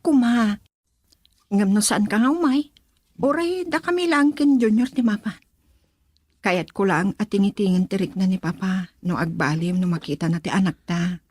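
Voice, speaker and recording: A woman's voice: mid-range voice, moderate pace, a little mellow, husky, no room sound, natural voice. A woman playing an earnest, respectful young mother and dutiful daughter in a radio drama. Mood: pleading.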